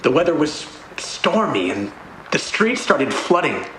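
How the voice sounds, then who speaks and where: Casting: a man to play a shaken male musician giving horror testimony in a radio play; high-pitched, slow, repeating himself. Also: big pitch swings. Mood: guarded, weary. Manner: halting, repeats phrases. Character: frightened touring musician recounting a horror under questioning. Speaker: actor in an audio play. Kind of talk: dramatic audio play monologue. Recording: roomy echo, faint background noise.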